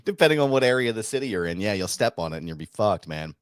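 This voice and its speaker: Lively upbeat host, late thirties. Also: male voice, fairly low pitch, rapid-fire, clear, resonant tone, no room sound, studio quality. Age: 30s. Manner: upbeat, laughs easily. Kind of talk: casual chat.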